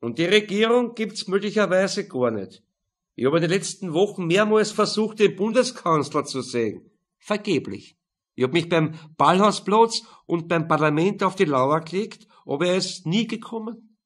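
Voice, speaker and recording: An opinionated, conspiratorial tennis coach in his sixties, acted out by a man for an audiobook. The voice is pushed up high and has a husky grain. He declaims his grievances emphatically, like a monologue. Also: animated, moderate pace. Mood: indignant, insistent. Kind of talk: audiobook character dialogue.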